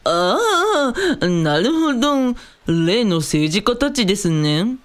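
A woman playing a flippant, wealthy male cult leader in a comic radio drama, with theatrical, over-polite speech and playful drawn-out exclamations. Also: mid-range voice, very animated. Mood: puzzled, relieved.

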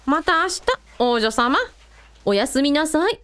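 A woman's voice: high voice, expressive, a little slow, background noise. A woman playing a sensible, caring, shrewd mother in a radio drama. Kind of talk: Japanese radio drama acting.

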